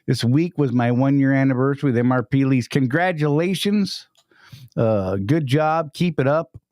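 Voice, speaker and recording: A man's voice: medium pitch, normal speed, darkish tone, no echo, studio quality. Upbeat man hosting a live stream. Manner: upbeat, greets chat viewers by name. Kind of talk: casual live-stream chat.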